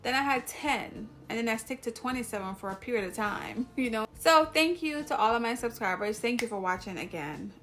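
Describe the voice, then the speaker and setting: A woman's voice: a little high, animated, fairly quick, hardly any pauses, fairly bright tone, faint music behind. A woman from Jamaica with a Jamaican accent, talking casually to her viewers as a video host, rambling and interrupting herself. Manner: chatty, rambling, self-interrupting. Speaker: YouTube host. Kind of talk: casual talk to camera.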